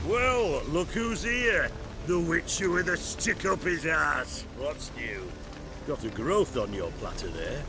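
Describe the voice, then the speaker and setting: An actor playing a crude, cocky bandit in a fantasy game, jeering in loose colloquial speech. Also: male voice, on the high side, expressive, slow, noisy background. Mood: mocking. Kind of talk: cinematic fantasy game acting.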